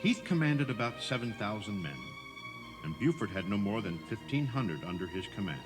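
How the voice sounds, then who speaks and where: A man's voice: fairly low pitch, very animated, moderate pace, over music. A man narrating a scripted audio tour, explaining things directly to the listener.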